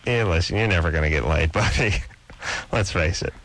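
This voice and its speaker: A man's voice: deep voice, fairly quick, fairly bright tone. A man hosting a radio call-in show, bantering casually in a blunt, mocking way and often cutting in.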